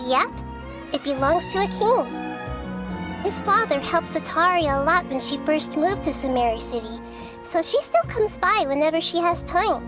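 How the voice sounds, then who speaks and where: A woman voicing a gentle, wise young girl who guards a city as its deity in a video game. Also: sits high.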